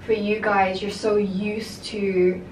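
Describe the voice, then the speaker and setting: A woman's voice: medium pitch, flat delivery, takes it slowly. A young woman, raised in the UK, chatting candidly to camera with lots of filler words. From half Japanese, raised in the UK. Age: young adult. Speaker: vlogger. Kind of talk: casual chat to camera. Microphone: far camera mic. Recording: echoey room, distant mic, noisy.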